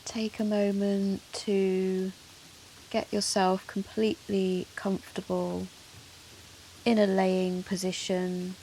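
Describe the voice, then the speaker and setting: A woman yoga teacher leading a guided meditation in a soothing, measured, gentle manner with long pauses. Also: medium pitch, monotone, low-quality recording. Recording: soft hiss of rain noise. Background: soft rain sound.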